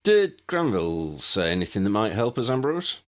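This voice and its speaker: A man's voice: lowish voice, very animated, moderate pace, voice pushed up. A man voicing a practical, wry male sidekick with a blunt, plain-spoken manner in a storybook.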